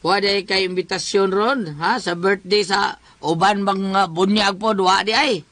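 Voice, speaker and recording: Man acting as shameless councilor husband, high bright voice, Cebuano accent, evasive, casual, comic radio drama. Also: steady tone, moderate pace, no room sound. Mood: feigned bluster. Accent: Cebuano Filipino. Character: sly, shameless village councilor husband, brushing things off.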